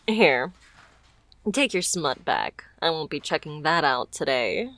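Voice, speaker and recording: Woman doing a flirty mafia boss lady for asmr roleplay, low voice, takes her time, teasing and smooth. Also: sing-song, fairly bright tone, no echo, heavily acted. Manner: teasing, smooth-talking, unhurried.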